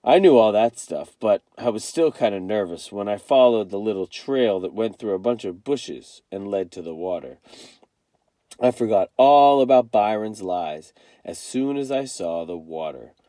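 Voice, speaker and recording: A man reading a first-person children's novel aloud as an expressive storyteller. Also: lowish voice, normal speed, dry recording.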